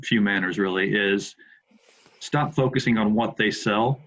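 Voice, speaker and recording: A man's voice: lowish voice, a little slow, a bit bright, low-quality recording. A middle-aged American man from the Midwest, a podcast guest chatting casually and repeating words as he starts his thoughts. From Indianapolis, USA. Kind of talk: casual interview chat.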